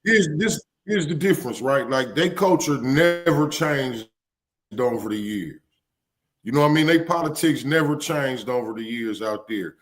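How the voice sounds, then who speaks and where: A man's voice: mid-range voice, animated, moderate pace. A man in a casual podcast chat, explaining his view in a laid-back, profane way.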